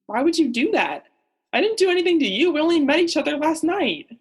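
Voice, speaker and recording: A woman's voice: high voice, very animated, brisk. A young woman playing a naive, oblivious male oceanographer in a student radio play, earnest and melodramatic. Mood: bewildered, defensive. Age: young adult.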